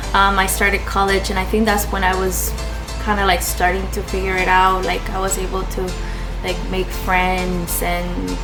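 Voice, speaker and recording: A woman's voice: medium pitch, low-quality recording. A young woman from Chile talking hesitantly about her personal life in a vlog, with lots of fillers and restarts. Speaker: vlogger.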